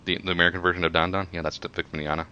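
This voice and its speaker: A man's voice: deep voice, level tone, very fast, pushed and bright, clear, resonant tone, dry recording. A man co-hosting a casual commentary in a matter-of-fact explaining manner, on a slightly dull microphone. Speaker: podcast co-host. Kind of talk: casual chat, retrospective commentary.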